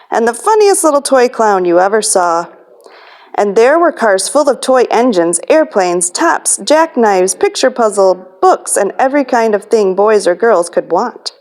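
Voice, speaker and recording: A woman hosting a children's storytime as a librarian, reading a picture book aloud. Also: mid-range voice.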